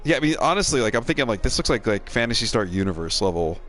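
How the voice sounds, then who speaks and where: A man's voice: mid-range voice, big pitch swings, fast talker, fairly bright tone, soft background music. A middle-aged man, a game streamer and co-host, chats casually while playing, in a rambling, wry, self-deprecating way.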